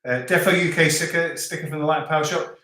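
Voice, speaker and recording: A man's voice: fairly high pitch, fairly even intonation, fast, bright, forceful tone. Live-stream host, man, toned-down Scouse accent, loose rambling chat, in an echoey room. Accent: softened Scouse. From Liverpool, England. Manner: chatty, rambling, stops and restarts. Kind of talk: casual live chat reading out viewer comments. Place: home in the French countryside. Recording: roomy echo.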